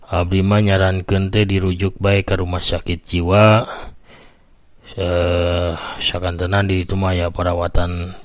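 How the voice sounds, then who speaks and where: A man's voice: low voice, level tone, unhurried, dry recording. A man voicing a polite, grave hospital doctor in a story, with a Sundanese accent and a formal, hesitant explaining manner. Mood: matter-of-fact, polite.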